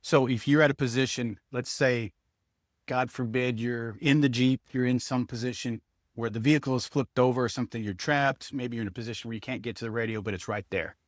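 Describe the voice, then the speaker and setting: A man talks informally to viewers as a product reviewer. His voice sits at an average male pitch, and he thinks aloud while handling the item. The sound is dry, with very little room in it. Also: fairly even intonation, fairly quick, no echo. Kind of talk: casual hands-on product review, speaking to viewers.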